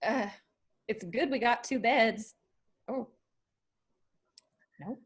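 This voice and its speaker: A woman's voice: fairly high pitch, slow, slightly rough. A woman acting a spirited young female band musician in a screenplay table read, speaking casually and expressively. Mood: playful, flustered.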